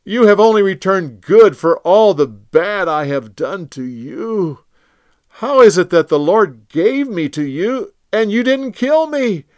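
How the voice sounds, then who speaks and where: A man's voice: high-pitched, animated, slow, darkish tone, smooth, no echo, clean studio sound, pitched above the performer's own voice. A man voicing a remorseful older king, speaking tearfully and imploringly.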